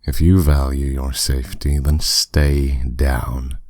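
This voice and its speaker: A man's voice: very deep voice, level tone, slow pace, a little mellow, no room sound, clean studio sound, full character voice. A man playing a dominant, suave demon lord in an intimate roleplay audio drama, speaking in a commanding, condescending, teasing way. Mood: calm, menacing.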